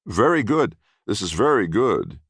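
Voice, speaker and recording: A polite young guest, as a man puts him on, answering in a deep, creaky voice with careful, measured phrasing. His tone rises and falls freely, recorded close and dry. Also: very animated, no room sound, heavily acted.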